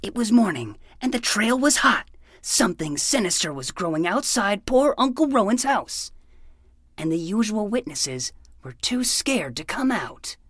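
A woman's voice: medium pitch, very animated, unhurried, husky, no echo, clean studio sound. A woman playing a self-important 12-year-old boy who acts like a hardboiled private eye in a fiction podcast. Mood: brooding, dramatic. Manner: melodramatic, self-important noir narration.

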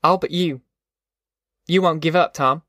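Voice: mid-range voice, unhurried, a bit bright, no room sound, clean studio sound, lightly acted. A narrator voicing a tough, determined middle-aged farm mother in an audiobook, with blunt, folksy rustic speech. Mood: earnest, steady. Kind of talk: audiobook character voice.

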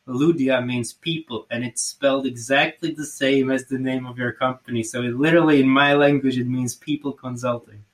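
Man, native slovak speaker, interview host, friendly questions, middle pitch. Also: fairly even intonation, normal speed, few pauses. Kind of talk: business interview.

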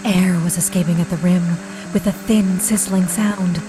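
An actress playing a grave woman eyewitness, narrating horror in deliberate, vivid phrases for a live audio drama. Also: fairly low pitch, fairly even intonation, music in the background, natural voice, rough recording. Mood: grave, ominous.

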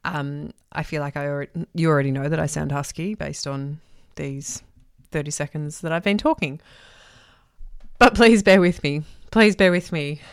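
Woman, podcast host, husky from a cough, effusive, repeats herself for emphasis, lowish voice, dry close sound. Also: normal speed, dry recording. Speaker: podcast host and transformation coach. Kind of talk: solo podcast monologue.

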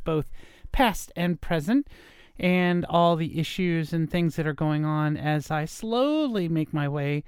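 A woman's voice: low voice, darkish tone, smooth, no echo, studio quality. A woman in her fifties hosting a solo podcast, talking in a rambling, hesitant way with many fillers, her voice a bit nasal from stuffy sinuses. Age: 50s. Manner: rambling, hesitant, self-deprecating with frequent fillers. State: stuffy nose from allergies. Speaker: podcast host. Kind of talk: casual solo podcast monologue.

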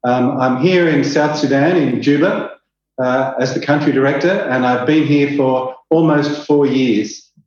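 A man with an Australian accent, a country director for an aid group, answering interview questions in a relaxed way with fillers and restarts. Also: medium pitch, unhurried. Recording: very echoey, dull, faint noise.

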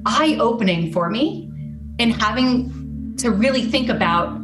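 A woman's voice: a little high, animated, slow pace. A woman with an American accent telling her personal story as an interview guest. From United States. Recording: roomy sound with music behind. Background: music.

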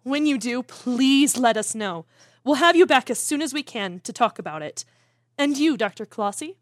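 A woman's voice: on the high side, lively intonation, moderate pace, no room sound, studio quality, close to an everyday voice. A woman playing a poised, sympathetic TV interviewer with polished, formal presenter phrasing in an audio drama. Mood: engaged, probing. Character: poised, sympathetic female TV news interview host. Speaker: TV interview host in a fiction podcast. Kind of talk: broadcast interview acting.

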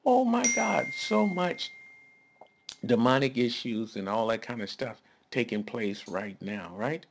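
A male pastor delivers a relaxed, conversational sermon, taking his time over every phrase. His voice has a gravelly rasp, and he leans on emphatic, folksy asides and rhetorical questions. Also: medium pitch, measured pace, a little hiss or hum.

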